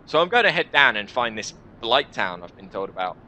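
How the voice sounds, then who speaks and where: A man's voice: mid-range voice, very animated, brisk, pushed and bright, a little hiss or hum. A man hosting a gaming video, reading visual novel narration dramatically and adding casual commentary.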